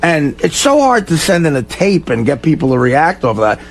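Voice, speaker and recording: Male radio sidekick, fairly high voice with heavy fry, earnest and conversational. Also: very animated, fairly quick. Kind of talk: casual chat.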